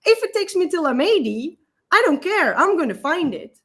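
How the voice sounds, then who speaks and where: A woman in her thirties with a Dutch accent, a guest telling a personal story in a halting, emotional way, often repeating words. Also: extremely high pitch, very animated, a bit bright. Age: 30s.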